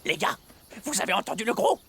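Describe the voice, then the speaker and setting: An actor voicing a sly scheming weasel in a cartoon, sneaky and cackling. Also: male voice, sits high, big pitch swings, fast talker, creaky, sharp tone, a little hiss or hum, exaggerated voice. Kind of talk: cartoon voice acting.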